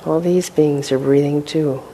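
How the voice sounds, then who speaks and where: A woman leading a guided meditation as a teacher, speaking gently and without hurry, with long pauses. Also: steady tone, low-quality recording. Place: meditation hall with a group. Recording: faint rumbling background noise.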